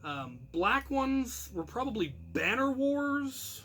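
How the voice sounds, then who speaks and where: Male storyteller-host, high bright voice, casual and rambling, self-deprecating, animated emphasis. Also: slow pace, slight background noise. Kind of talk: casual anecdotal storytelling to viewers.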